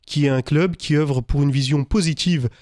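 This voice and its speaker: A man's voice: a little high, moderate pace, darkish tone, no echo. Hesitant man, radio studio guest. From Lorraine, France. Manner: hesitant, many fillers. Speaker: guest, business lawyer. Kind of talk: radio interview. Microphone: studio microphone.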